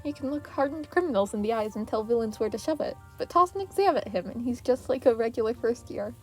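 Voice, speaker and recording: A woman's voice: on the high side, lively intonation, fast talker, darkish tone, soft background music, close to an everyday voice. A woman voicing a playful, teasing female hero teacher in an anime fan story reading. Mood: amused, teasing.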